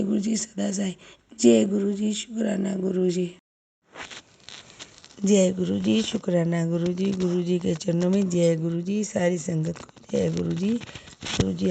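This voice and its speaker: A hoarse woman sharing a devotional testimony, thanking and pleading with her guru between chants. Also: medium pitch, warm tone, a little hiss or hum. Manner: tearful pleading, repeated thanks.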